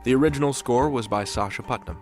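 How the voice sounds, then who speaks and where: Man, podcast host, scripted announcer delivery, measured, theatrical, mid-range voice, prominent music behind. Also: medium pitch. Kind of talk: scripted announcing, dramatic outro and credits.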